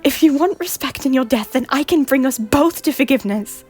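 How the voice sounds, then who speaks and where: Guilty ex-queen in an audio drama, woman voicing her, kinda high, hushed and whispery, faint music. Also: a little fast, natural voice. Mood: defiant. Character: guilt-ridden former Scottish queen, defiant yet remorseful. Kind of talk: amateur audio drama acting.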